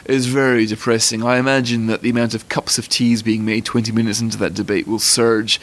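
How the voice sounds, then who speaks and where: A man with a posh Scottish accent, a magazine editor giving measured, articulate interview answers. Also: fairly low pitch, flat delivery. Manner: articulate, fluent, considered.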